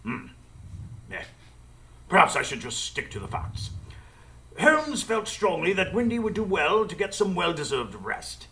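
An actor playing an earnest, formal gentleman sidekick, narrating theatrically in a radio play. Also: high-pitched, wildly swooping pitch, measured pace, long pauses, full character voice.

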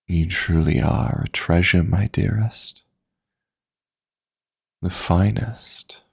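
A man playing a seductive, possessive yet tender dream demon lover in an intimate audio roleplay, murmuring flirtatious endearments in a husky, breathy voice close to the mic. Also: dry recording, exaggerated voice. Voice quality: gravelly, husky, breathy low voice. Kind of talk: intimate romantic sleep-aid audio roleplay. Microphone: close, dry studio-style microphone.

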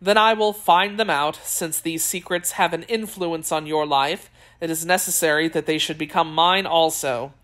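A woman's voice: low voice, normal speed, hardly any pauses, fairly bright tone. Casting: woman reader as young male gallant, under twenty, persistent, earnest, courtly audiobook delivery. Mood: insistent.

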